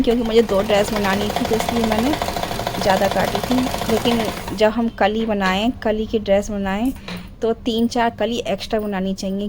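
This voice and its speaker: A middle-aged woman with a hoarse, sore throat talks through a sewing tutorial step by step, often stopping to clear her throat. She sits at a middling pitch and repeats each instruction carefully. A faint hiss runs under the voice-over. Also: a little mellow. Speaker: sewing channel host.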